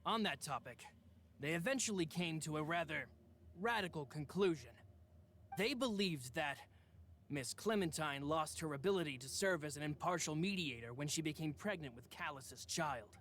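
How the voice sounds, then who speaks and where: Higher-pitched formal, composed gentleman. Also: animated, moderate pace, a little hiss or hum. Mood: grave. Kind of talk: video game voice acting.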